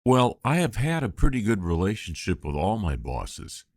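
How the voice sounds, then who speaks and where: A man reading the candidate's part in a scripted practice job interview, with clear, measured delivery. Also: lowish voice, wildly swooping pitch, darkish tone, no echo, studio quality.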